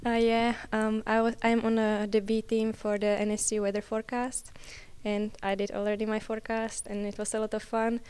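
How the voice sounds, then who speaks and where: A woman's voice: on the high side, completely flat delivery, a little fast, darkish tone, smooth. A woman in her early twenties with a Czech accent, a college tennis player answering interview questions in non-native speech. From Czech Republic. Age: early 20s.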